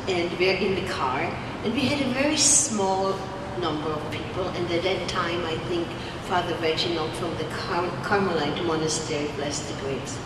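Older woman, host type, rambling welcome speech, booming hall reverb, distant PA sound, steady machine hum. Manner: rambling, warm-hearted, repeats and restarts words. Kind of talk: informal welcome speech into a handheld mic. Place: large winery production hall with steel equipment, indoors. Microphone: handheld microphone through a PA. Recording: echoey hall heard from far off through a PA.

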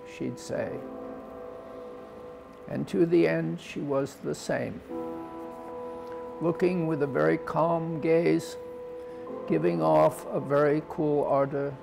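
Gravelly older man reading poetry. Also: a little high, music in the background. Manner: solemn, deliberate phrasing. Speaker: poet. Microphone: lapel.

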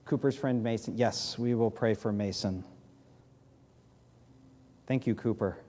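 A man in his thirties with a New England accent, a pastor giving church announcements and leading prayer at a podium mic, speaking haltingly with many fillers. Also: lowish voice, dark tone. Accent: New England American. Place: large church sanctuary, in doors.

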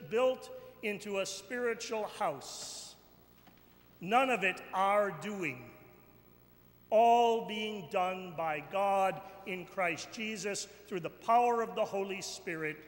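A man delivers a sermon in a bright, forceful voice, slowly and deliberately. He declaims with weighty pauses and repeated phrases. The sound rings through a large church with some noise behind him. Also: high voice. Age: middle-aged or older. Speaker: bishop preaching. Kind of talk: sermon, slow and emphatic with pauses. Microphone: pulpit microphone. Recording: echoey church hall, background noise.

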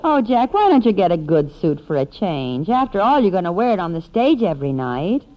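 A woman's voice: fairly low pitch, sing-song, a little fast. A woman playing a frank, wry shopping companion in a 1950s American radio comedy. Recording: muffled 1950s radio recording before audience.

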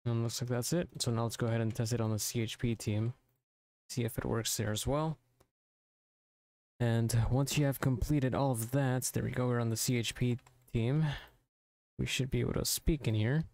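A man narrating a software tutorial informally, thinking aloud step by step into a close mic. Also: medium pitch, steady tone, normal speed, gravelly, no echo. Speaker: tutorial presenter.

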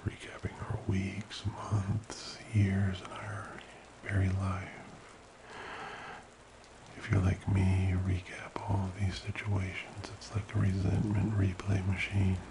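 A man speaking very softly as an ASMR host, slow and soothing, often slipping into a whisper in a creaky murmur. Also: low voice, completely flat delivery, background noise. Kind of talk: soft-spoken ASMR personal attention, slow guided relaxation.

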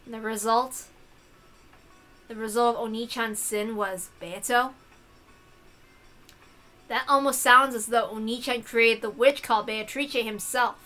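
A woman's voice: on the high side, steady tone, unhurried, long pauses, sharp tone, faint music behind. A woman voicing an earnest, troubled teenage girl in a visual novel, in an insistent, questioning manner. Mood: upset. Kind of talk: visual novel character reading.

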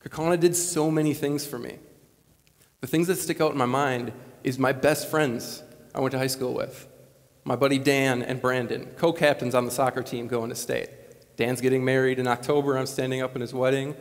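A man's voice: medium pitch, moderate pace. Earnest young man speaking in a gym. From Wisconsin. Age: late 20s. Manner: earnest, conversational, animated gestures. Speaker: commencement speaker. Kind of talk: motivational commencement speech. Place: large school gymnasium, on stage. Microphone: lapel mic. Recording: long gym reverb.

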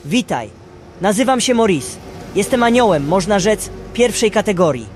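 Man playing a high-pitched, theatrical apprentice angel. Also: big pitch swings, normal speed, slight background noise. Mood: eager, solemn. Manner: theatrical, exclamatory. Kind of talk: amateur radio play acting. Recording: music behind the voice.

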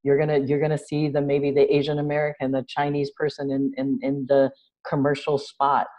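A middle-aged woman speaks over a video call from a room at home. Her voice is deep and mellow for a woman. She tells stories in an animated way and acts out the other people in them. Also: a little fast, poor audio. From New York City. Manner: animated storyteller, gestures a lot, laughs. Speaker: guest, social entrepreneur. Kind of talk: casual interview chat. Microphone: video call webcam.

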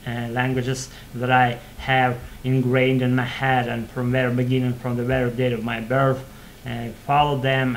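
A man's voice: medium pitch, a bit bright, a little hiss or hum, poor audio. A man in his thirties whose first language is not English, talking casually to his webcam in a small room at home, hesitant and full of fillers and restarts. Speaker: channel host practicing English. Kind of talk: casual rambling monologue to webcam. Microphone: webcam microphone at desk.

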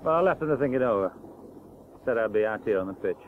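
Radio play: actor as bitter, blunt working-class veteran cricketer, sardonic and colloquial, tinny old broadcast sound. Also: male voice, on the low side, expressive, quick pace, slight background noise, lightly acted. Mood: wary, reasoning. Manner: plain-spoken, sardonic, colloquial. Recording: tinny narrow-band 1980s radio broadcast.

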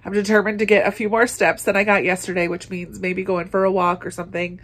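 A woman's voice: mid-range voice, little pitch movement, fast, fairly bright tone. A woman vlogger chatting casually and informally to camera about her day, in an easygoing way. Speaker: book vlogger, host. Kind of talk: casual weekend vlog chat to camera.

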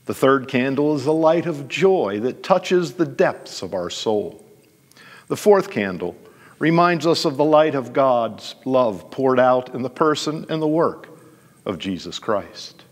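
An older man reading a candle-lighting liturgy aloud in a church, with an expressive, sing-song delivery. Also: mid-range voice, measured pace, big-room sound. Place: church sanctuary, indoors.

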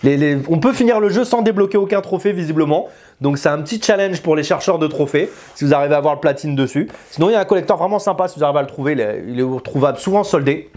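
A man's voice: on the high side, lively intonation, fast talker, a little mellow. A man, talking casually and informally to camera as a video game reviewer, using slang. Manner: informal, slangy, chatty, gesturing. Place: small indoor room with bookshelves.

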